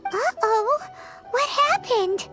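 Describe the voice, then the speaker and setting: A woman voicing a tiny, excitable childlike fairy companion in a video game, squeaky and exclamatory. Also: extremely slow, loud music behind the voice, exaggerated voice. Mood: startled. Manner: exclamatory, dramatic. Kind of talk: video game character acting.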